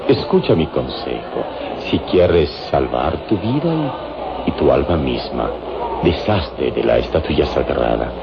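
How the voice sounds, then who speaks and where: A man's voice: rumbling low, very animated, vocal fry, heavy background noise, heavily acted. A man playing a solemn, mysterious adventurer hero who speaks grandly in an old-fashioned radio drama. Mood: solemn, persuasive. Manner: solemn, grandiloquent, deliberate warnings. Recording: dull old radio serial recording.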